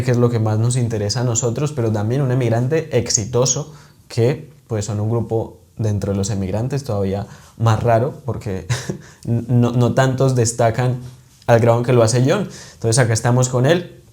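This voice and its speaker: A man's voice: medium pitch, steady tone, normal speed, warm tone. A man hosting a podcast interview in a casual, joking way. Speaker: podcast host.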